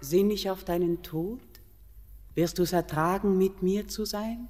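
Actress as a slow, dark-toned mountain queen, seductive and commanding, in a long reverb. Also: mid-range voice, lightly acted. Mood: entreating. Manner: slow, solemn, sinking to hushed whispers. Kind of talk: classic German radio play acting.

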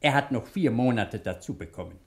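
A man's voice: medium pitch, animated, brisk, gravelly. A man playing a self-assured older judge and soothing uncle in an old-fashioned radio drama. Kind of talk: 1950s-style German radio drama acting.

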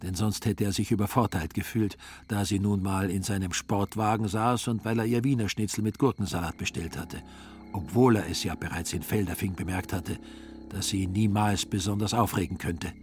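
A man narrating a literary audio drama in a measured, dryly ironic way. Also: lowish voice, steady tone, soft background music.

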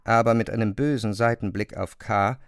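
Narrator for literary drama: man, measured and deliberate, fry in the voice, very dry acoustic. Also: lowish voice, steady tone, dry recording.

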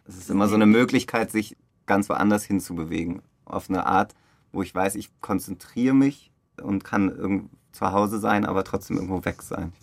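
A man's voice: low voice, normal speed, gravelly, no echo. A man giving casual, reflective interview answers as a theatre director, feeling for his phrasing and often correcting himself. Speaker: theatre director interviewed about a radio play.

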